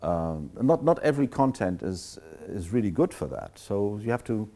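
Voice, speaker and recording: A man with a low, mellow voice fields questions after his lecture. He talks thoughtfully and pauses to find the right words. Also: very animated. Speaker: guest speaker.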